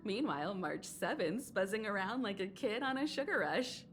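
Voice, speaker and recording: A woman's voice: medium pitch, lively intonation, normal speed, lightly acted. An actress playing a poised, teasing, elegant woman in an anime game, wry and gracious, with guitar music behind. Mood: teasing, composed.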